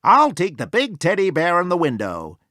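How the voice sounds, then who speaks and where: Man acting enthusiastic, exclaiming storybook gentleman. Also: high voice, wildly swooping pitch, normal speed, no room sound, studio quality, voice pushed up, full character voice. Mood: impressed, eager. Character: enthusiastic grown man, a delighted teddy-bear buyer.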